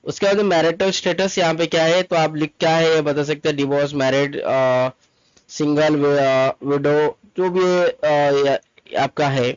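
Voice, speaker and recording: A man presents an informal tutorial in a somewhat high-pitched voice. He instructs step by step, repeating himself and dropping in English terms. The sound is very dry and a bit dull and lo-fi. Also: fairly even intonation, a little slow, clean tone, no room sound. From Mumbai, India. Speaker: tutorial presenter, travel agent. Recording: dull lo-fi close dry recording. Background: voice-over to screen recording.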